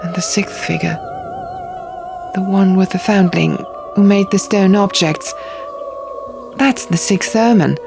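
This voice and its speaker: A woman voicing an earnest young man who recounts dark ancient lore in an audiobook, measured and theatrical, pausing for effect. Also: mid-range voice, vocal fry. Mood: solemn storytelling. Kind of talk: audiobook character dialogue. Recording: music bed under the reading.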